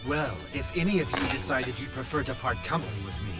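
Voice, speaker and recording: A man's voice: mid-range voice, expressive, fast talker, close to an everyday voice. An actor playing a cunning, ambitious male strategist who speaks in a scheming, self-assured way. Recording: loud game music behind.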